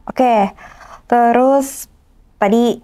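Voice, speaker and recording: A woman's voice: a little high, steady tone, drawn-out words, mellow, a little hiss or hum. A young woman hosts a casual interview in an informal, responsive and agreeable way. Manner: reactive, informal, agreeing often. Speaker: interviewer host.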